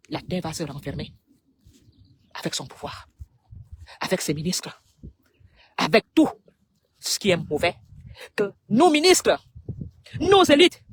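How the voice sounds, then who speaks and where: Impassioned Cameroonian woman, noisy recording. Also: on the high side. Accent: Cameroonian French. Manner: emphatic, halting short phrases with dramatic pauses. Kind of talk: impassioned public appeal.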